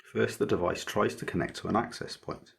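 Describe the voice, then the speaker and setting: A man with a low, creaky voice presents a scripted electronics tutorial. His tone is very husky and breathy-rough rather than smooth. He explains each step methodically, in a measured, explanatory manner. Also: fairly even intonation. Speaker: maker presenting an electronics project.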